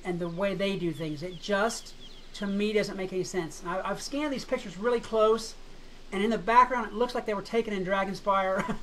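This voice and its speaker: A slightly hoarse woman chats about a game in a casual, skeptical way, breaking into small laughs. Her voice sits at an ordinary pitch for a woman, recorded on a home mic with a faint hiss underneath. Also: a bit bright, rough recording. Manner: chatty, skeptical, laughs often. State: slightly hoarse, frog in throat. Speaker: gaming YouTuber.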